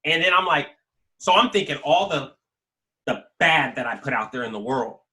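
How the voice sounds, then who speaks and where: Man, forties, American, fairly high voice, sharp forceful tone, slangy, emphatic, conversational storytelling. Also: expressive, normal speed. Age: 40s. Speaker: guest, YouTube creator telling his life story.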